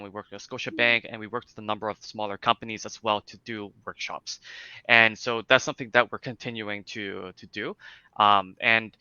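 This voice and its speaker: A man's voice: fairly low pitch, sharp tone, smooth, no room sound, highs cut off. A young man with a light Cantonese accent, explaining things in a casual interview with lots of fillers and restarts. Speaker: interview guest, speech clinic founder.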